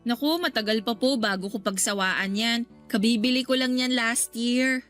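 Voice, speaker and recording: A woman's voice: fairly high pitch, moderate pace, a bit bright, faint music behind, natural voice. A woman playing a polite, put-upon young Filipino goddaughter in a radio drama, restrained and reluctantly obliging.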